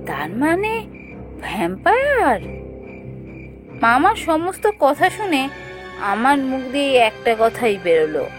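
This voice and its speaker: A woman voicing a curious, eager young relative who narrates and acts in an animated ghost story, expressive and inquisitive. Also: sits high, full character voice.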